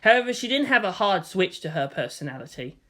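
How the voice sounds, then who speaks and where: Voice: medium pitch, big pitch swings, fairly quick, fairly bright tone. A narrator reading fan fiction aloud as scripted storytelling.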